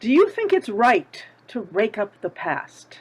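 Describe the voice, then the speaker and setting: A woman's voice: fairly high pitch, wildly swooping pitch, takes it slowly, slightly rough, exaggerated voice. A woman reading a shrewd, haughty elderly landlady at a table read, probing with each question.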